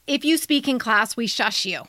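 A woman's voice: a little high, lively intonation, moderate pace, sharp tone, no echo, clean studio sound. A woman co-hosting with conversational, emphatic commentary as an editor. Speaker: podcast co-host and writing editor.

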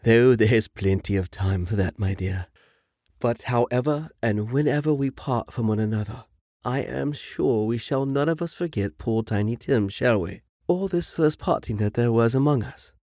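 A man reading a storybook plays a gentle, tender-hearted family father, speaking earnestly and affectionately with some halting. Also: mid-range voice, no room sound, clean studio sound, natural voice. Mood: fond, hopeful.

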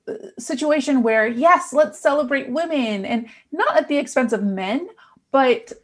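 Bubbly new york accent woman, kinda high voice, giggly podcast guest. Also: expressive, a little slow. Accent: New York American, transatlantic. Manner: bubbly, laughs often, upbeat. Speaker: guest, business owner and coach. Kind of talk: casual podcast interview.

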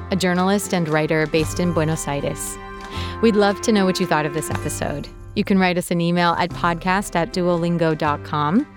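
A woman narrates a podcast story in a measured, clear way, her voice sitting a little low. Soft music plays quietly behind her. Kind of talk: scripted narration.

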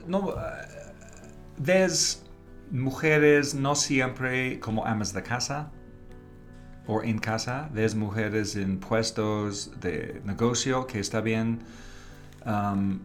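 A middle-aged man, a native English speaker with a slightly British accent, speaks slowly and hesitantly as a podcast guest, slipping in English fillers. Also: mid-range voice. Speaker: podcast guest, advertising professor. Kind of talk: casual interview conversation.

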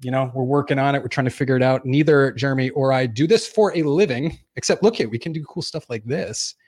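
A man's voice: mid-range voice, quick pace, a little mellow, no room sound, studio quality. A man hosting a casual live show, rambling and joking about himself. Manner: joking, rambling, self-deprecating. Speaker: host. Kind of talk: casual livestream chat. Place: small studio.

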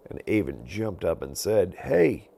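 A male storyteller narrates a children's bedtime tale. His voice is low and very creaky, and it rises and falls dramatically as he tells it. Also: sing-song, slow, darkish tone, slight background noise. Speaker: storyteller, podcast host.

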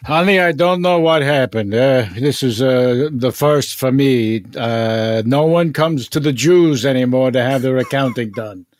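Halting, rambling older accountant type, cranky and fussy, played by a man; dry close sound. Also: mid-range voice, darkish tone, dry recording, lightly acted. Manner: rambling, halting, full of uh's. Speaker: improv performer. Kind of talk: improvised comedy scene.

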